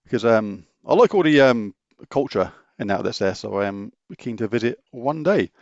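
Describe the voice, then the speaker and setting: A man's voice: mid-range voice, expressive, moderate pace, a little mellow, dry recording, highs cut off. A middle-aged British man hosting a gaming channel, casually answering viewer questions in an open, matter-of-fact way that rambles and restarts. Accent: British English. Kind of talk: casual Q&A chat.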